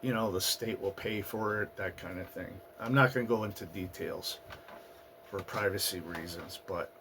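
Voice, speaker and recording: A man hosting his own channel, talking through his past year in a halting, personal monologue, his voice raspy and hoarse from a recent flu. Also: low-pitched, noisy background. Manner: halting, with fillers and restarts. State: getting over a flu, hoarse. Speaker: channel host. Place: at home in the US Northeast.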